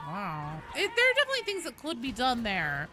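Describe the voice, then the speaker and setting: A woman's voice: on the high side, wildly swooping pitch, a little fast, background noise. A woman chatting casually and swearing freely while she live streams a game. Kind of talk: casual live gaming chat.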